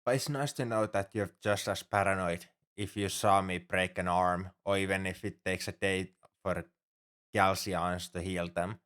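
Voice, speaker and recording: Casting: male actor for a worrying, devoted alien shipmate, fairly deep voice, earnest and halting, formal turns of phrase, intimate ASMR roleplay. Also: fairly even intonation, fairly bright tone, smooth. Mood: relieved, earnest. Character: anxious, caring male alien crewmate on a spaceship. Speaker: ship crewmate tending a sick human.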